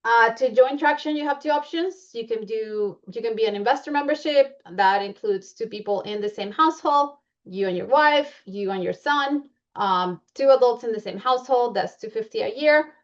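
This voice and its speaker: A woman's voice: a little high, moderate pace, hardly any pauses, muffled recording. A woman with a Colombian accent presenting membership benefits in a chatty way, with many fillers and restarts. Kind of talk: presentation walking through slides.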